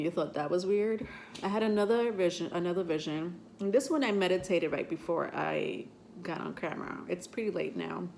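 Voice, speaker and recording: Woman host, low-ish pitch, stuffy from a cold, chatty and rambling, light background hiss. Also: vocal fry, a little mellow. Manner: rambling, chatty, many fillers. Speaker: tarot reader and channel host. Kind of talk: casual vlog talk to camera.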